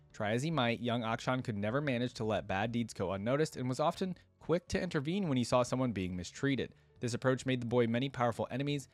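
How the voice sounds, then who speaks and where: A man's voice: medium pitch, no room sound. Man hosting a casual reaction, dry close sound. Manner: casual, addresses viewers directly. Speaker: host of a game lore reaction channel. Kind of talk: reaction commentary with read-aloud story passages.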